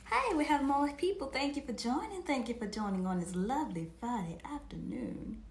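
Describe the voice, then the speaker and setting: A woman reads to children as a storytime host. Her voice sits a little high and swings expressively through each phrase at an unhurried pace. She addresses her listeners directly, and the room adds a clear echo. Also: expressive, a little hiss or hum. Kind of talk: animated read-aloud storytelling for children. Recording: roomy, echoing home recording.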